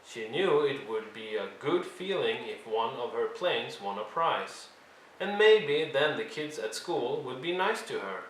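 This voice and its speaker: A man narrating a picture book for young children in an expressive read-along style, with deliberate, dramatic emphasis. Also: mid-range voice. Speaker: storyteller reading a picture book aloud. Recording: roomy echo, faint background noise.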